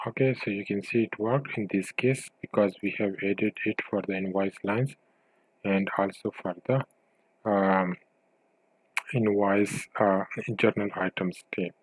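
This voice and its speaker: A man with a fairly low voice presents an informal how-to walkthrough. He thinks aloud in a hesitant, step-by-step way. The sound is dry, with very little room around the voice. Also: measured pace, slightly rough, no room sound, rough recording. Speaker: software tutorial presenter. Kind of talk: informal screen-share tutorial narration.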